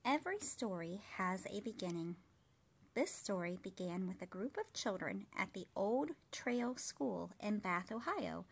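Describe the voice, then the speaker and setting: A woman in her thirties reading a storybook aloud to young children like a kindergarten teacher, measured and expressive. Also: mid-range voice.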